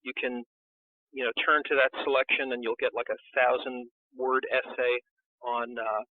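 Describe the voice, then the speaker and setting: A man's voice: medium pitch, flat delivery, moderate pace, no room sound. A man speaking as an interview guest, a book publisher, answering casually over a tinny call line.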